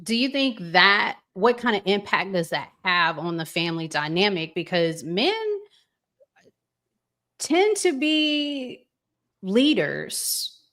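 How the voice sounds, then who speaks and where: Female podcast host, very unhurried pace, deliberate conversational interviewer. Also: mid-range voice, sing-song, fairly bright tone.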